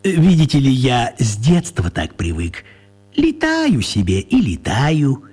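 An actor playing a tiny, apologetic old wizard gentleman in a children's radio play, husky and fussy, courteous and repeating himself. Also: medium pitch, huge pitch swings, takes it slowly, heavily acted. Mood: sheepish.

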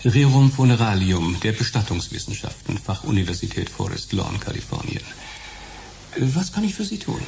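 An actor playing an unctuous elderly undertaker in a radio drama, formal and pompous, with hushed professional sympathy. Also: male voice, on the low side, big pitch swings, normal speed, gravelly, background noise. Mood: smoothly solicitous. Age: older. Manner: oily, formal, pompous salesman.

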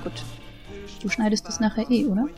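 A woman's voice: fairly high pitch, steady tone, fairly quick, darkish tone, faint music behind. A woman voice actor chatting casually between takes during a recording session.

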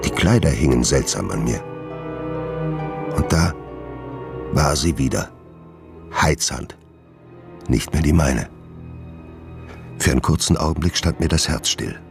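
Radio drama: haunted doctor, bottom-register gravel, secretive, brooding, literary. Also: male voice, rumbling low, expressive, unhurried, pauses often, soft background music, exaggerated voice. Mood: brooding, confessional. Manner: brooding, formal literary diction. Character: tormented gentleman doctor, secretive, guilt-ridden, haunted by his dark side. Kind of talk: German radio drama acting and first-person narration.

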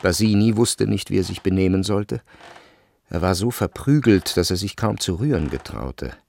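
A man's voice: low-pitched, expressive, normal speed, creaky, darkish tone. A man reading scripted literary narration for a radio drama. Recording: music behind the voice.

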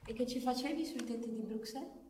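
High-pitched actress as a woman comrade, very echoey. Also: fairly quick, warm tone, slight background noise, close to an everyday voice. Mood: urgent. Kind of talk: live stage radio drama acting. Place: theatre stage, picked up from afar. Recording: distant, very echoey hall, audience murmur.

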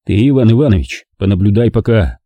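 Male reader voices a stern commander, curt, dark and husky. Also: lowish voice, expressive, a little fast, no echo. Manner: curt, irritated orders.

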